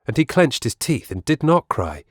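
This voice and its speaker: A man's voice: mid-range voice, very animated, moderate pace, slightly rough, dry recording, clean studio sound. A man reading scripted storybook narration as the narrator of an audiobook.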